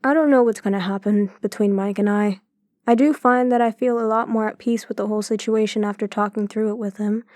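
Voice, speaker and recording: An actress in an audio drama playing a gentle, troubled woman who speaks hesitantly and confidingly. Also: mid-range voice, steady tone, fairly quick, dry recording, clean studio sound, close to an everyday voice. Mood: troubled, reflective. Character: gentle, troubled woman opening up to her brother.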